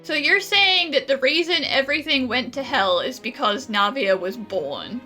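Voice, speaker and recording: A woman giving casual let's-play commentary, animated and opinionated as she reacts on behalf of the characters. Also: sits high, moderate pace, soft background music. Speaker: gaming streamer.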